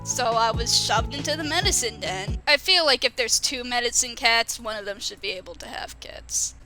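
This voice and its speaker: A sarcastic, grumpy old female cat healer voiced by a woman chats in a fandub Q&A. The voice sits on the high side, snarky and a little hesitant. Music plays clearly behind it. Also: moderate pace, sharp tone, rough recording. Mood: earnest complaining. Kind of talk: improvised in-character Q&A banter.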